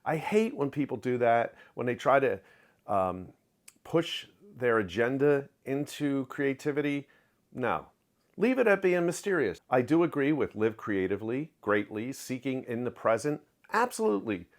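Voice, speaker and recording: A middle-aged man giving a candid, opinionated book review in a home study, talking close to the camera with lively hand gestures. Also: medium pitch, normal speed. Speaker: book vlogger. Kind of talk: casual reading wrap-up review. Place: home study with shelves of collectibles. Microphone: webcam framing, close to camera.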